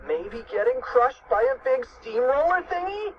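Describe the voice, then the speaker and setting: A man voicing an excitable tiny schoolboy from a storybook, with a shrill, exclamatory delivery. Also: unhurried, recorded from a distance, full character voice. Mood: puzzled. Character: excitable, panicky little schoolboy, an inch tall. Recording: noisy, muffled lo-fi audio.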